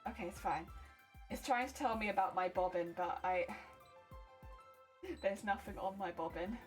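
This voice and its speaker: A woman's voice: on the low side, normal speed, rough recording. A woman with a British accent chatting casually on a craft live stream, giggling and muttering to herself as she works. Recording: loud music playing under voice. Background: loud background music and sewing machine.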